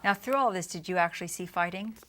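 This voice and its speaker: A woman's voice: lowish voice, fast, bright, forceful tone. A woman hosting a TV interview, asking friendly, inquisitive questions. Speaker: TV interview host. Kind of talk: interview conversation. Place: TV studio.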